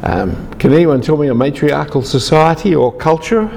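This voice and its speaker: A man's voice: fairly high pitch, moderate pace, gravelly, warm tone, long reverb tail, a little hiss or hum. A man from Australia, giving a lecture to a large group, chatty and teasing the audience.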